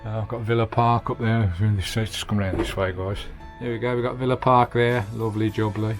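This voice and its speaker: A man's voice: fairly low pitch, flat delivery, normal speed, over music. A man with a British accent giving a casual, matey, hands-on vlog commentary from inside a campervan. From United Kingdom. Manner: chatty, matey, peppered with British slang. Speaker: vanlife vlogger. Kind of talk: casual hands-on video tour and fitting commentary.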